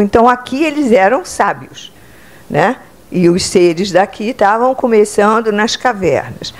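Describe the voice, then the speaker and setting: An older Brazilian woman, a psychotherapist, giving a spiritual lecture standing in an auditorium, talking into a handheld microphone and often checking in with her listeners. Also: mid-range voice. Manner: conversational, checks in with "entende?" and "né?"; speaks with lively hand gestures, standing. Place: club auditorium, indoors.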